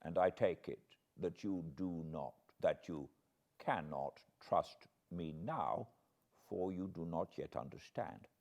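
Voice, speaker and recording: A man playing a grave, kindly old foreign professor in an audiobook, speaking gently and solemnly in halting phrases. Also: low-pitched, vocal fry, exaggerated voice. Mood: grave, earnest.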